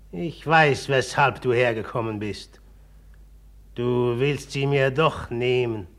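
A jealous man in a radio play, menacing and insistent. His voice is gravelly and creaky, and he speaks slowly, with taunting accusation. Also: mid-range voice, a bit bright. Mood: bitter accusation. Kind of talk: German literary radio drama acting.

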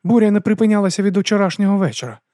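A practical, commanding queen, voiced by a man reading aloud. Her lines come high and clipped. The recording is dry and near. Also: very fast, mellow, dry recording, lightly acted. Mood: firm. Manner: firm, clipped statements.

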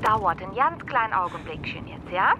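Actress as chatty office clerk, Rhineland accent, swooping pitch, radio drama, rumbling background noise. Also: female voice, very animated, moderate pace, dull audio, lightly acted. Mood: obliging. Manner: colloquial, chatty. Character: chummy, casual female agency phone clerk. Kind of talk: radio crime drama dialogue.

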